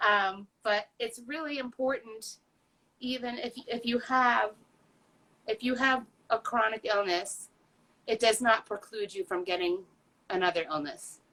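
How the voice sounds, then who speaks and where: A woman's voice: a little high, measured pace, bright, forceful tone, rough recording. A woman in her fifties talking candidly as an interview guest, earnest and chatty, with frequent fillers and restarts. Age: 50s. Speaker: patient advocate guest.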